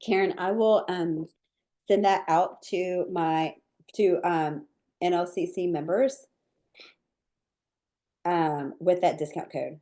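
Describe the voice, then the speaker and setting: Woman, low voice, cookie class teacher, live Q&A, casual, lots of ums, friendly chat. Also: takes it slowly, long pauses. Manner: relaxed, chatty, many ums and likes. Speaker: cookie decorating teacher answering viewer questions. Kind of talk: live webinar Q&A.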